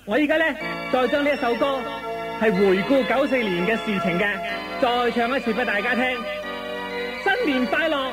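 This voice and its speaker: A man's voice: very high-pitched, animated, normal speed. A man with a Hong Kong accent, introducing a parody song on the radio. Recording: loud music under the voice.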